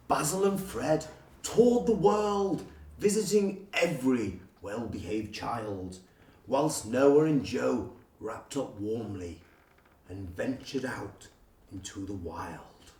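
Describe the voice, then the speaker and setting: A man reading a rhyming storybook as a theatrical, expressive storyteller. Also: mid-range voice. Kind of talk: scripted rhyming storybook narration. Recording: roomy, distant from the microphone.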